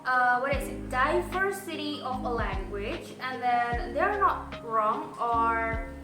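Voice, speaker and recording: A young woman with a bright, high voice talks unhurriedly and stops now and then to find the right word. She co-hosts a relaxed educational chat. The room rings a little, and music plays softly underneath. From Indonesia. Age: young adult. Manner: slow and searching for words, with fillers. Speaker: podcast co-host. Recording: roomy sound with music underneath.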